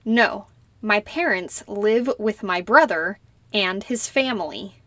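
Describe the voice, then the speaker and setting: A young woman playing a polite citizenship applicant who answers slowly and carefully in a scripted practice interview. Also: mid-range voice, animated, a bit bright, no echo. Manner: slow, careful, deliberate answers. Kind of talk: scripted role-play interview.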